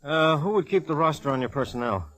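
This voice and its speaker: Fast-talking, no-nonsense police lieutenant. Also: male voice, a little high, expressive, fairly bright tone. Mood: brisk, sardonic. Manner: clipped, sardonic. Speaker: supporting radio actor. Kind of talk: 1940s-50s radio acting.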